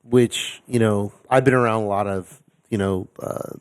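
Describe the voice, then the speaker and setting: A man hosting a podcast interview, talking in a relaxed way full of fillers like "you know". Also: fairly low pitch, measured pace, smooth, dry recording, clean studio sound. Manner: relaxed, filler-heavy, admiring. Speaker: podcast host. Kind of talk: casual interview.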